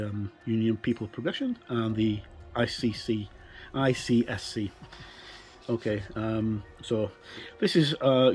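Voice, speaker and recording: Faint music sits behind a middle-aged man with a fairly low voice. He talks casually as a tabletop game reviewer, explaining as he goes. His delivery is halting and unscripted. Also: a little mellow. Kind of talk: casual look-through commentary.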